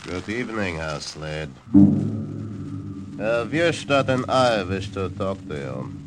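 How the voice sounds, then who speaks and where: Menacing agent, swooping pitch. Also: male voice, expressive, slow. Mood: coldly polite. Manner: formal, threatening. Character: menacing, coldly polite male German Gestapo agent. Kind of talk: 1940s radio villain acting. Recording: noisy old radio broadcast.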